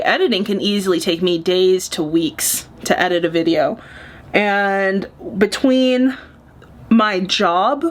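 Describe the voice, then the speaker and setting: Woman, 20s, casual beauty vlogger, chatty, candid, joking, mid pitch. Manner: chatty, candid, jokey asides. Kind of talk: casual get-ready-with-me chat.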